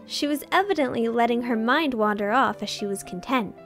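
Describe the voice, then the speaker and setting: High-voiced woman narrating a story. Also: a little fast, fairly bright tone, soft background music. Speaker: fan fiction narrator.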